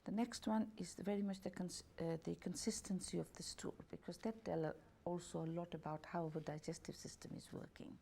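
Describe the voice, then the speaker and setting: A woman with a gravelly, mellow voice reads a questionnaire aloud. She takes each lettered option in turn at an unhurried pace. Her grammar is slightly off now and then, like a second-language speaker. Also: fairly low pitch, steady tone. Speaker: holistic health and beauty therapist. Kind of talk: reading out a questionnaire.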